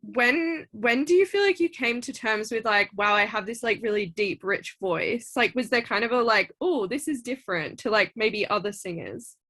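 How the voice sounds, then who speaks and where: Casual female host, bright tone, chatty and encouraging, lots of filler words. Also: on the high side, expressive, normal speed, hardly any pauses. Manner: chatty, many "like"s, eager and encouraging. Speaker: interviewer, show host. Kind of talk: casual interview chat.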